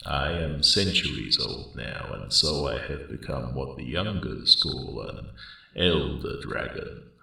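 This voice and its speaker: An immense, ancient dragon speaks in an extremely deep, growling, gravel-filled voice that echoes heavily through a cave-like space. He is a courteous, wry old guardian who takes his time, rambling amiably in genteel, old-fashioned phrases for an ASMR fantasy roleplay. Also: animated, slow, pushed and bright, full character voice. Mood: reflective. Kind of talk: ASMR character roleplay monologue. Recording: roomy cave-like echo, distant.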